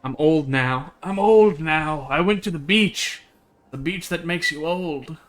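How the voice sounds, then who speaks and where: A man's voice: fairly high pitch, a little slow. A man livestreaming a game, chatting casually in a jokey, slangy way and reading its text aloud. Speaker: game streamer.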